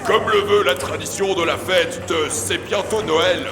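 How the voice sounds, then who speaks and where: A man acts a solemn, festive medieval host in an audio comedy. He proclaims slowly in a high voice, echoing through a big hall with crowd and music behind. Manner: ceremonial, declaiming slowly. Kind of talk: comedic audio drama acting.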